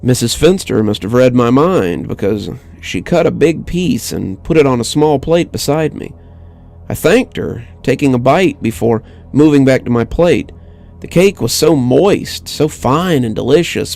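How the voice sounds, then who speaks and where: A man reading a scripted horror story in a measured, dramatic manner as the narrator. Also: medium pitch, gravelly, darkish tone. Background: music bed.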